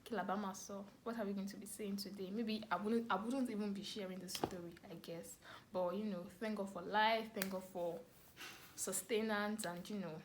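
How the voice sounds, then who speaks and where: A woman's voice: medium pitch, fairly even intonation, moderate pace, background noise. A young woman with a Nigerian accent telling a personal story casually and expressively to camera, repeating phrases for emphasis. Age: young adult. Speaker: vlogger, storyteller.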